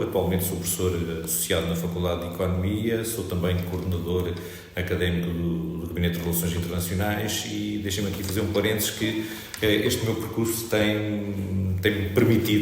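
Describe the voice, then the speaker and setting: A middle-aged man from Trás-os-Montes in Portugal, an economics professor answering interview questions in a measured, explanatory way with frequent hesitations. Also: low voice, a little hiss or hum. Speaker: economics professor, podcast guest. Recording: echoey room with long reverb.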